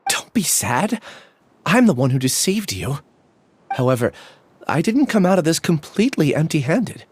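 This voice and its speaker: An actor playing a remorseful young male scholar who speaks softly and reflectively. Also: a little high, very animated, normal speed, slight background noise. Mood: regretful. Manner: soft, reflective, apologetic. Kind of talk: video game voice acting.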